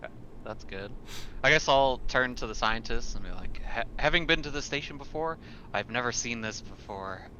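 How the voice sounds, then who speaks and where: A man's voice: medium pitch, animated, moderate pace, pushed and bright, lo-fi sound, a little hiss or hum. A man chatting casually as a player in a tabletop roleplaying game, hesitant and questioning.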